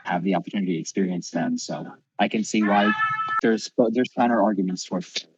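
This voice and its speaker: A man's voice: deep voice, a little fast, noisy background. A man giving casual explanations as a panelist in a discussion. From United States, family from Peru. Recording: dull, dry lo-fi audio.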